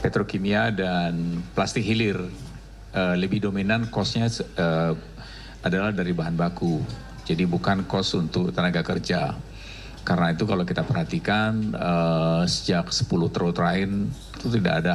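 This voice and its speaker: A man with an Indonesian accent explaining without a script as an industry representative, in hesitant, halting phrases. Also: deep voice, steady tone. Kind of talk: unscripted explanation at a forum.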